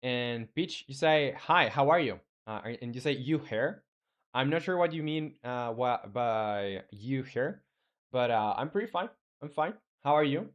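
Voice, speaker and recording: A young Chilean man, Spanish his first language, talking loosely to his stream viewers while he codes. His voice sits at an ordinary middle pitch, and his sentences often stall, restart and fill with "uh" and "like.". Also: animated, muffled recording. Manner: halting, many restarts and fillers. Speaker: game developer streamer. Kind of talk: casual live-stream chat reading viewer comments.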